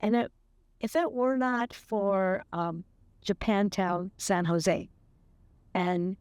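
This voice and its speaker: Woman, seventies, very slow deliberate interview talk with fillers, dry close sound. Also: mid-range voice, steady tone, darkish tone, smooth, dry recording. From California. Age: 70s. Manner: slow, earnest, with fillers and light laughs. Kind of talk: reflective interview.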